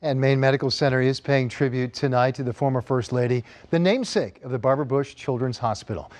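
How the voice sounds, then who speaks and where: A man's voice: mid-range voice, quick pace, a little mellow, dry recording, clean studio sound. An American man reading scripted news introductions as an anchor.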